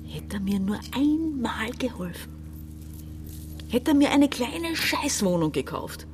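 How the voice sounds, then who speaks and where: A woman's voice: fairly high pitch, very animated, unhurried, background noise. An actress playing an embittered, domineering woman around forty with an Austrian accent, emphatic and reproachful in a radio drama. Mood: frustrated, bitter. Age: 40s. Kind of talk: German-language radio drama.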